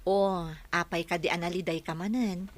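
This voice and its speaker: Actress as a low-voiced, caring madam. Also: female voice, fairly even intonation, moderate pace, natural voice. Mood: concerned, kindly. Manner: caring, inquiring. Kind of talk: radio drama acting.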